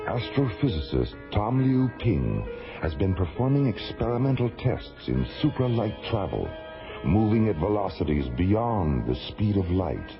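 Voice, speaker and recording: A man playing a grave announcer, narrating a science fiction radio drama in a deliberate, portentous style. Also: lowish voice, very animated. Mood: grave, suspenseful. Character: grave announcer narrating a space adventure serial. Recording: dull lo-fi radio recording. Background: loud dramatic music.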